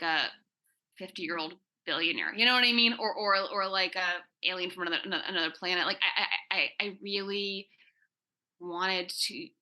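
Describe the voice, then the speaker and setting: A woman with a very bright, sharp-edged voice on the lower side speaks as a writer on a panel. She is informal and halting, doubling back on words as she works out her answer. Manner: halting, often repeating words as she thinks aloud. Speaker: comic book writer answering questions in a panel. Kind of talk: casual, conversational panel discussion.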